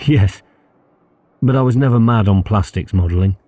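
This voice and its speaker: A man's voice: fairly low pitch, moderate pace, warm tone, no echo, voice pushed up, natural voice. A man reading an audiobook as an easygoing, slightly sceptical schoolboy who replies in a casual, questioning way. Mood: casual, game. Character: easygoing schoolboy sidekick, sceptical but game.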